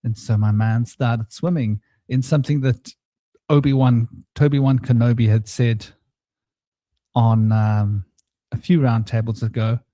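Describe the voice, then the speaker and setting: A man hosting a casual spiritual round-table chat, rambling and relaxed, with a somewhat droning voice and many fillers. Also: medium pitch, measured pace, dry recording. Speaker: host. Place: home studio.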